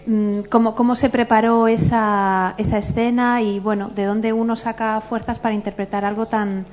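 Flat-voiced woman reporter, hesitant. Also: on the high side. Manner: hesitant, with pauses to think. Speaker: reporter asking a question. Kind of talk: press conference question. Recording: dull lo-fi sound.

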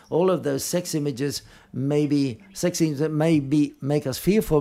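A man interpreting live at a film press conference, speaking in halting, piecemeal phrases and often correcting himself. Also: on the high side, mellow.

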